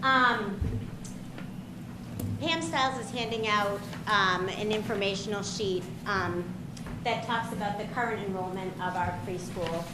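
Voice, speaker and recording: A woman with a loud, brisk delivery presents to a school board, filling pauses with frequent ums. Her voice rings around a very echoey room, and heavy noise sits under the whole recording. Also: mid-range voice. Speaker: special education director. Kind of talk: presenting at a public meeting.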